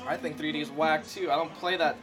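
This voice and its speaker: A young man with a voice pitched a bit high for a guy, chatting in an easygoing, slang-heavy way and swearing now and then as he cooks. Music plays clearly in the background. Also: fairly even intonation, brisk. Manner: laid-back, slangy, swears freely. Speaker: co-host cooking on the show. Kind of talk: casual chat while cooking. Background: music playing.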